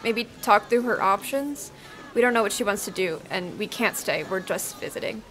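A woman's voice: mid-range voice, moderate pace, fairly bright tone, close to an everyday voice, poor audio. A young woman playing an anxious, conflict-shy college student in an audio drama, hesitant and nervous. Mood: composed. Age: young adult. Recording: faint background noise.

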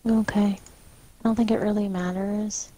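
A woman's voice: medium pitch, flat delivery, measured pace, warm tone. A woman chatting casually as she co-hosts a co-op video game session. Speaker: gaming video co-host. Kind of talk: casual co-op gameplay chat. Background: music.

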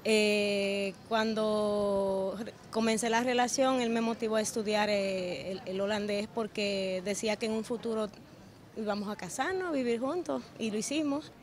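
A woman's voice: mid-range voice, normal speed, slight background noise. A woman answering a street interview outdoors, earnest, telling her story. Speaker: passerby.

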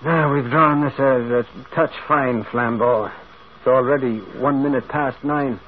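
A man's voice: mid-range voice, steady tone, unhurried, lightly acted. An actor playing a mild, inquisitive priest sleuth in an old radio drama, hesitant and repeating his words. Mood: anxious, courteous. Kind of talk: 1940s radio acting. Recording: muffled, phone-like old radio recording.

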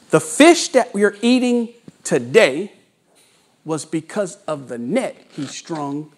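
A man in his forties giving a motivational sales training talk to an office room, with dramatic pauses and storytelling, on a lapel mic while he walks and gestures. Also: high-pitched, a little mellow, a little hiss or hum. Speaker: sales coach.

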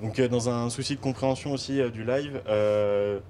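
A man's voice: mid-range voice, fairly even intonation, moderate pace, dark tone, a little hiss or hum. A young man from Lyon, a student hosting a live cooking show, speaking hesitantly with frequent filler sounds. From Lyon, France. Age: young adult. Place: teaching kitchen, indoors. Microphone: handheld mic.

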